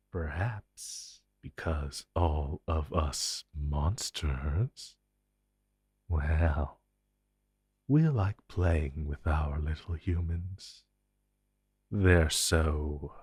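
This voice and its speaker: A man playing an inhuman monster interrogator in an intense audio roleplay, taunting and condescending, with deliberate theatrical pauses. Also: very deep voice, darkish tone, slightly rough, dry recording, clean studio sound, exaggerated voice. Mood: sinister amusement. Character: menacing male monster interrogator, inhuman, smug and possessive.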